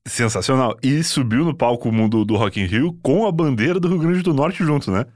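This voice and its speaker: A man's voice: mid-range voice, expressive, moderate pace, a little mellow, no room sound, clean studio sound. A man hosting an interview, asking questions and cheering answers with short enthusiastic affirmations. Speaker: interviewer host.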